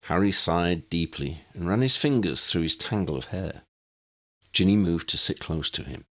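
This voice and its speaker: A man's voice: low-pitched, lively intonation, moderate pace, a bit bright. A man reading a fantasy story as an audiobook narrator. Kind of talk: scripted fiction narration.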